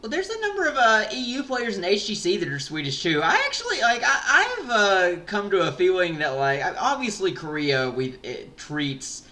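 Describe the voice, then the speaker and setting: A man co-hosting an esports podcast, talking in an excitable, animated way with lots of fillers and restarts. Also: high-pitched, normal speed, few pauses. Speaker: podcast co-host. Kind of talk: casual esports discussion.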